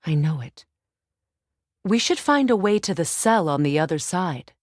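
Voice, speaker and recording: Battle-worn warrior sorceress, low female voice, measured, commanding, earnest game drama, close dry recording. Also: animated, no echo. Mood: watchful, questioning. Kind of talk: dramatic video game voice acting.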